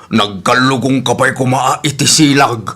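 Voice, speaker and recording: A man's voice: medium pitch, slow, fairly bright tone. A man playing a jovial uncle who teases and chuckles, with a Filipino accent, in a comedic radio drama. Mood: teasing. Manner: drawling, hearty chuckles. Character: jovial, teasing uncle who needles his nephew.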